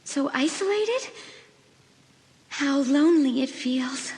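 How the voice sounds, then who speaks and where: A woman's voice: high voice, animated, takes it slowly, darkish tone, exaggerated voice. A woman playing an anxious, fretful teenage girl in a dramatised audiobook, earnest and dramatic. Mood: lonely, despairing. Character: anxious teenage girl trapped inside a mirror.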